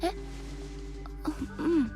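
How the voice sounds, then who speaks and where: An actress playing a gentle, shy young blind woman in a Japanese video game drama, speaking softly and hesitantly. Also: slow, over music, close to an everyday voice.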